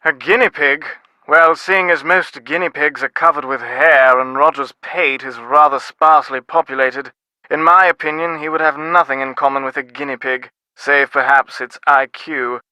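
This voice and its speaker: A man's voice: fairly high pitch, steady tone, normal speed, bright, forceful tone, no room sound, poor audio. An actor playing a snobbish, dryly sardonic middle-aged British father from an aristocratic family in a video game, wordy and dismissive. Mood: dry disdain. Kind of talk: scripted video game dialogue.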